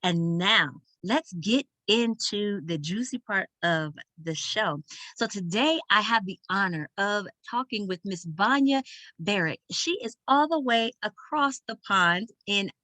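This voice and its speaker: A woman's voice: mid-range voice, lively intonation, measured pace, pushed and bright, no echo. A woman hosting a podcast, speaking earnestly and emphatically in flowing, repeated phrases. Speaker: podcast host. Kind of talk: upbeat podcast hosting, speaking of gratitude and introducing a guest.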